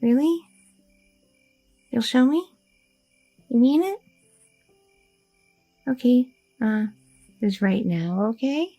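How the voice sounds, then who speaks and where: A woman's voice: on the high side, expressive, slow pace, long pauses, mellow, no echo, faint music behind, full character voice, rough recording. A woman voicing a curious, chatty young girlfriend in an ASMR roleplay, rambling with many fillers and nervous questions. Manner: rambling, inquisitive, filler-heavy talk.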